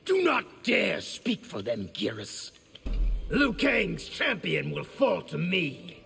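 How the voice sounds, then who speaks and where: Casting: menacing war-hungry fighter, high and very gravelly, wildly swooping delivery, game dialogue. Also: male voice, huge pitch swings, slow pace, fairly bright tone, heavily acted. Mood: defiant. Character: defiant war-hungry male warrior champion. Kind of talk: video game voice acting. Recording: long hall reverb, faint music behind.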